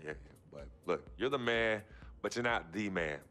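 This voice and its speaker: An actor playing a calm, firm young pro basketball star in a video game cutscene. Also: male voice, on the low side, moderate pace.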